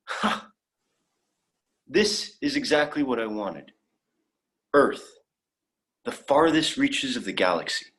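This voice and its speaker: Man playing an eager, animated young alien visitor. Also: medium pitch. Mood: awed, delighted. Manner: wide-eyed, enthusiastic, blithe. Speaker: actor. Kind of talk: dramatic fan fiction performance.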